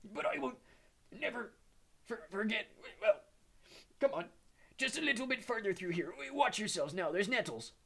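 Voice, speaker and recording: Male narrator voicing a hulking half-giant gamekeeper: gravelly, husky, stammering, rustic and soft-hearted. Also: high-pitched, expressive, moderate pace, long pauses, pitched above the performer's own voice, exaggerated voice. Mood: urgent.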